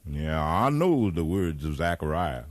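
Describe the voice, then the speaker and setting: A gentle man of the gospel in a 1950s radio play. He is earnest and unhurried, with a lively rise and fall in a dry, close sound. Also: a bass voice, no room sound, full character voice. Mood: friendly, guarded. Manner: earnest, measured, pious. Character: gentle, devout, peace-loving slave man of the gospel. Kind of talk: 1950s radio drama acting.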